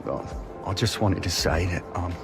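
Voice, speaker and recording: A man's voice: deep voice, moderate pace, over music, natural voice. An actor playing a supportive, encouraging middle-aged father of a footballer in naturalistic game cutscene dialogue. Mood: sincere, proud.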